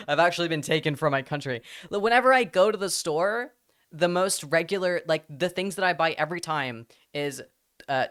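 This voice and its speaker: Smooth-voiced American-accented man chatting on stream. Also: mid-range voice. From Spain. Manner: rambling and animated, slipping between English and Spanish. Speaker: livestreamer. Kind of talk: casual livestream chat, answering chat questions.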